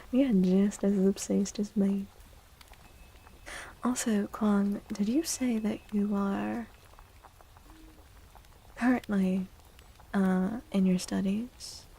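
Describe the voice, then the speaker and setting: Female ASMR livestream host: hushed, mellow, breathy delivery with gentle, soothing manner and frequent whispering. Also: mid-range voice, steady tone, takes it slowly, long pauses, poor audio. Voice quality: breathy, often dropping into a whisper. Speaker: livestreamer hosting an ASMR stream. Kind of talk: soft-spoken ASMR, chatting with viewers. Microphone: close microphone.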